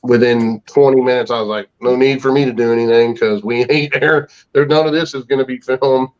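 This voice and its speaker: A man's voice: medium pitch, steady tone, brisk, darkish tone. A man chatting casually as a paranormal investigator, with a blunt, colloquial way of talking. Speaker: paranormal investigator guest, former law enforcement investigator. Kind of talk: casual chat. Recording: dull, lo-fi online audio.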